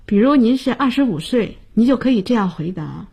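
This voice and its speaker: Woman, radio language teacher, mellow, breathy, a bit high, clear and instructive, studio mic. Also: lively intonation, brisk. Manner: clear, instructive, addresses listeners directly. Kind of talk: scripted radio lesson narration.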